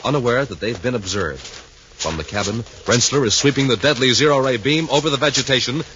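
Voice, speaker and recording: A man's voice: mid-range voice, brisk, bright, forceful tone. A man narrating a 1950s radio adventure serial in a suspenseful, declamatory announcer style. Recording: muffled, noisy 1950s radio recording.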